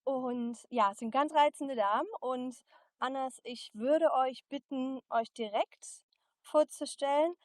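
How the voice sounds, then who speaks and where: A woman's voice: sits high, slow, dry recording, clean studio sound. A woman hosting and moderating a webinar, friendly and a bit hesitant. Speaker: webinar host.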